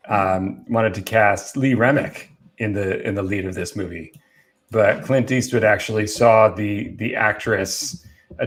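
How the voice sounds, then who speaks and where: A man hosting a casual panel chat about films, explaining trivia with enthusiasm. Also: fairly low pitch. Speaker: film talk show host presenting movie trivia.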